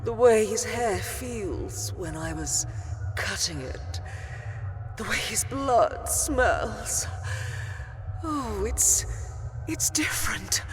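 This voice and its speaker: An imperious, possessive female dusk elf vampire in a dark fantasy audio drama. She lingers theatrically over her words with a widely swinging pitch, over prominent music. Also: animated, extremely slow, long pauses. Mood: smitten, intimate.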